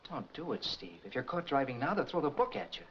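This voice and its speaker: An actor playing a loyal, worried helper to a trucker, in 1940s film noir style. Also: male voice, medium pitch, very animated, fast, close to an everyday voice. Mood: worried, cautioning. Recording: muffled, noisy 1940s film soundtrack.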